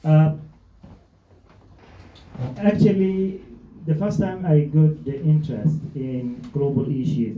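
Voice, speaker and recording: A man from Somalia, speaking earnestly on a panel, often pausing with "uh" as he gathers his thoughts. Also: mid-range voice. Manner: earnest, with frequent hesitations. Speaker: panel speaker, humanitarian activist. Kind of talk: panel talk. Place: event hall with audience. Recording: echoey hall, dull lo-fi.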